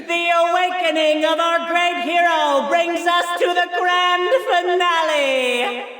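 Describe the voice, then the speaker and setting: A woman's voice: sits high, a bit bright, no room sound, clean studio sound, heavily acted. A woman playing a vain, theatrical trickster villain cookie in a game, narrating pompously and teasingly as if hosting a grand stage show. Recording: music behind the voice.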